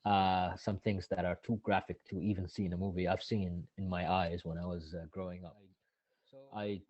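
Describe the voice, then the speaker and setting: Halting man on a dull line. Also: low voice, level tone, a little mellow. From Iraq. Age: 30s. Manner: halting, often restarting mid-sentence. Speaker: guest, activist. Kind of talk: conversational interview answers. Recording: dull, lo-fi dry recording.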